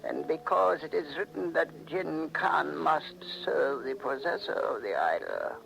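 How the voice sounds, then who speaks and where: An actor playing an old, mysterious servant in a 1940s radio mystery, speaking formally and cryptically with soft menace. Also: male voice, on the high side, lively intonation, vocal fry, background noise, full character voice. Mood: ominous, servile. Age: older. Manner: formal, slow, cryptic speech. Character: bony old mysterious servant mystic, softly ominous and servile.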